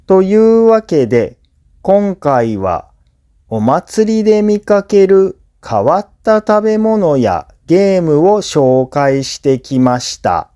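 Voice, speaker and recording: A man who is a native Japanese speaker, reading scripted listening practice for learners in a clear, simple explanatory way. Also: sits high, very animated, takes it slowly, mellow. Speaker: language teacher and narrator.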